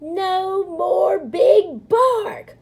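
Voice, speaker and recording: A woman voicing a crowd of small, excitable, squabbling prairie dogs for a storybook, shouting in short bursts. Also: sits high, takes it slowly, voice pushed up. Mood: excited.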